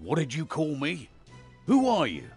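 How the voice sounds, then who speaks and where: An actor playing a weary veteran demon hunter in a fantasy game, with a gruff, wary and intense manner. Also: male voice, on the high side, huge pitch swings, moderate pace, soft background music. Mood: alarmed, suspicious. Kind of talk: fantasy video game voice acting.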